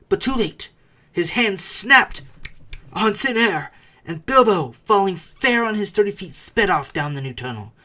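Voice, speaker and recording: A man's voice: fairly high pitch, pushed and bright. A man reading a storybook aloud as a dramatic narrator, with theatrical, suspenseful delivery. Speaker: audiobook reader.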